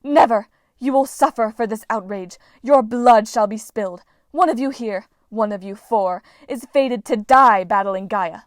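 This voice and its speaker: A woman voicing a furious, grandiose goddess of victory caught in a net, in a storybook reading, with shrill, booming proclamations. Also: normal speed, no echo, clean studio sound, lightly acted. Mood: ominous.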